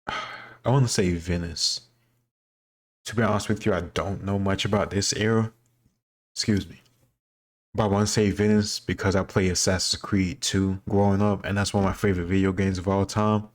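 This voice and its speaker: Very low-pitched man, casual commentary. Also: steady tone, normal speed. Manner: conversational, thinking aloud, self-doubting. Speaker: host reacting to a history quiz. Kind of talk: casual commentary, answering quiz questions.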